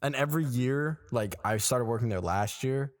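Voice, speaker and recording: A man's voice: mid-range voice, normal speed. A man in his twenties, co-hosting a podcast in casual chat, telling stories and acting out people's reactions. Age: 20s. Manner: animated storytelling, acts out quoted reactions. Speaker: podcast co-host. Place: small room.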